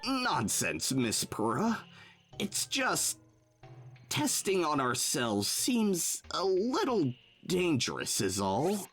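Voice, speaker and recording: A man's voice: a little high, huge pitch swings, slow, a bit bright, faint music behind, full character voice. Man playing a jumpy, swooping nervous lab assistant. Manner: fussy, polite, anxious. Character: nervous, fretful male lab assistant, easily horrified. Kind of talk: fan audio drama acting.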